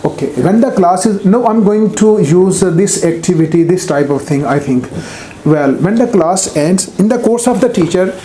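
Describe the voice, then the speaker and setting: High-voiced Pakistani man teaching in an echoey room. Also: animated, moderate pace, mellow, a little hiss or hum. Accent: Pakistani English. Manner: unscripted, frequent "you know" fillers and self-corrections. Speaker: English teaching expert. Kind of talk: explanatory teaching talk. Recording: roomy echo, faint ambience.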